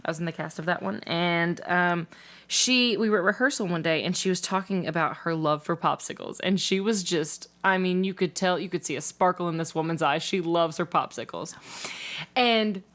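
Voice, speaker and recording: A woman's voice: on the low side, moderate pace, fairly bright tone. A woman hosting a casual interview podcast, with a playful, teasing way of talking. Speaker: podcast host.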